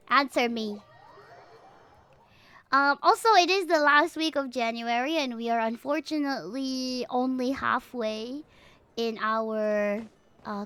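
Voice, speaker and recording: A woman's voice: sits high, fairly bright tone, clear, resonant tone. A young adult woman chatting casually to viewers on a livestream in a chatty, rambling way. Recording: music playing behind. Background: background music.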